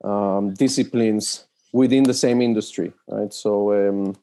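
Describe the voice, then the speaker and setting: A man's voice: fairly low pitch, steady tone, unhurried, dark tone. A man from Mexico City speaking as a podcast guest, modest and hesitant in casual interview conversation.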